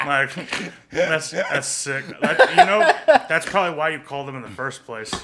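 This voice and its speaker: A man's voice: a little high, wildly swooping pitch, moderate pace, creaky, a crowd in the background. A man co-hosting a comedy podcast in casual, crude chat, rambling and stumbling over his phrases.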